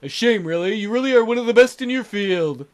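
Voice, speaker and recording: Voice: medium pitch, lively intonation, fairly quick, close to an everyday voice. A man voicing a smug, sleazy older male treasure hunter from a visual novel while playing it. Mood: smug, menacing. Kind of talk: visual novel character voiced by a let's player.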